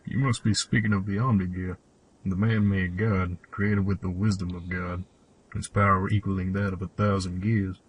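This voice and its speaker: A man's voice: low-pitched, level tone, moderate pace, slightly rough, dull audio. A man playing a cryptic old cave hermit and mechanic in an audio drama, with a solemn, old-fashioned storyteller's delivery. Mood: awed, grave. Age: older. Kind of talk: fan audio drama acting.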